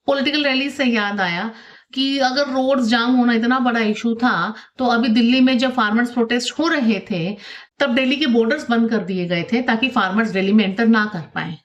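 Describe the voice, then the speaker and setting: A woman's voice: fairly high pitch, moderate pace. A middle-aged woman giving conversational opinion commentary, arguing through rhetorical questions and mixing in English phrases. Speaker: vlog host.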